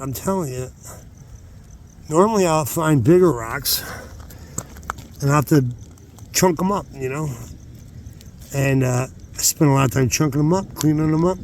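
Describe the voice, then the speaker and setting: A middle-aged man chatting informally to viewers in a rambling vlog, halting as he thinks aloud and repeating words for emphasis. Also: mid-range voice, darkish tone, a little hiss or hum. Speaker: vlogger, hobby rockhound.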